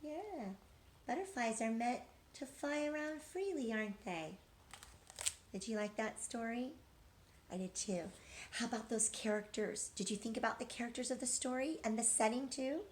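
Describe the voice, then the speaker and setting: A woman's voice: on the high side, normal speed, slight background noise. A middle-aged woman teacher reading a picture book aloud to young children, expressively, with questions to her listeners. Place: living room couch at home.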